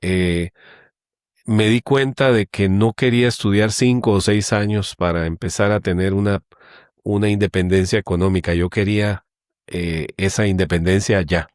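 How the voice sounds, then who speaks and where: A middle-aged man speaks in a fairly low voice with a dry, close sound. He talks in a measured way and pauses often. His tone is that of a guest in a conversational interview. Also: steady tone, darkish tone, no room sound. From Guatemala. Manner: measured, with many hesitations. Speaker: guest, company general manager.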